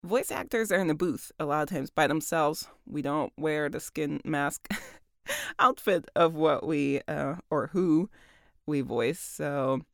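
A woman voice actor with a deep voice, chatting casually about her work, laughing often and using lots of fillers. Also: slow, gravelly, no echo, clean studio sound. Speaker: voice actor and audiobook narrator.

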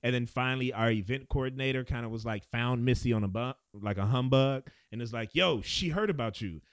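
An American man co-hosting a casual podcast, recalling stories in a relaxed way with chuckles. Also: mid-range voice, brisk, no echo. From United States. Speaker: podcast co-host. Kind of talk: casual chat.

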